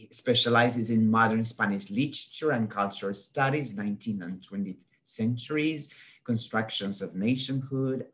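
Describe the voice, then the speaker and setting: A man's voice: lowish voice, a bit bright. Hesitant man on a video call. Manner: hesitant, many fillers. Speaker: associate professor of linguistics. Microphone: laptop webcam video call.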